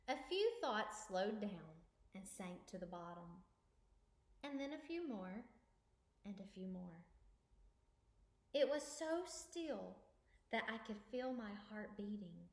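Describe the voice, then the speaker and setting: A woman reading a children's story aloud as expressive narration, deliberate and with dramatic pauses. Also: medium pitch. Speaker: storybook reader. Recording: echoey room.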